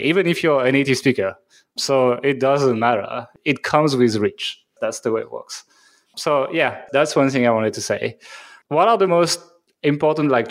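A man whose first language is French, co-hosting a podcast and talking casually with plenty of fillers and restarts. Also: mid-range voice. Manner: conversational, many fillers and restarts. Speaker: podcast co-host.

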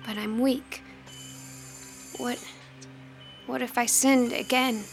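Slow, earnest American teenage girl. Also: fairly high pitch, fairly even intonation, soft background music. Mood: troubled, guilty. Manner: hesitant, earnest. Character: guilt-ridden, earnest eighteen-year-old small-town bad girl turned devout. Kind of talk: audio drama acting.

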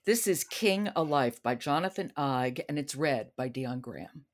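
A woman's voice: extremely low pitch, animated, moderate pace. A woman hosting a podcast in a conversational book discussion. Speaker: podcast host.

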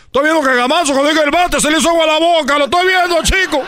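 A high-voiced man rattles on at speed on a radio show, tripping over repeated words as he jokes. His voice sits close and dry. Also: steady tone, bright, forceful tone, dry recording. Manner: fast-talking, stammering repetitions, calls everyone "chico". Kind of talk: rapid comic banter.

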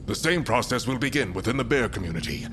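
An actor playing a stern, measured middle-aged patriarch who rules a fantasy mountain council, speaking formally and deliberately. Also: male voice, medium pitch, lively intonation, background noise, close to an everyday voice. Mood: measured, reasoning. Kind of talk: fantasy audio drama acting.

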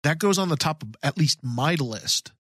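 Male podcast co-host, slightly raised pitch, casual, emphatic fan enthusiasm, dry recording. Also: expressive, moderate pace, fairly bright tone, dry recording.

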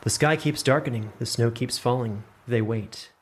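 A man's voice: mid-range voice, normal speed, slight background noise. A man giving scripted narration for a fantasy story.

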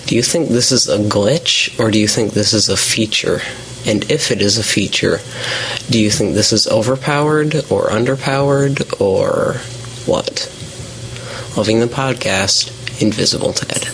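A man's voice: lowish voice, unhurried, a bit bright. A man leaving a prepared voice message as a listener of a gaming podcast, on an amateur recording. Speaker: listener sending in an audio question. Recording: noisy amateur recording with ambience.

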